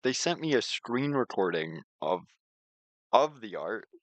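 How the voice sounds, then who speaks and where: A man streaming a casual software walkthrough, explaining things in an informal, rambling way. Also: on the low side, big pitch swings, measured pace, dry recording. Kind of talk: casual screen-share walkthrough and chat.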